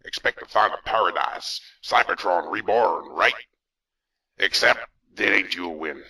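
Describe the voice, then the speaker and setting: An actor playing a gruff, sardonic robot in an audio drama, with a gravelly, raspy voice, slightly off-mic. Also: male voice, low voice, a little slow, sharp tone, distant mic, full character voice, low-quality recording.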